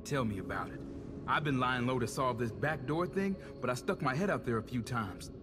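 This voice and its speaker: A man's voice: medium pitch, animated, moderate pace, music in the background, close to an everyday voice. A man playing a savvy, self-interested tech operative in a video game, talking in a wry, slangy, casual way. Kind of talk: video game cutscene acting.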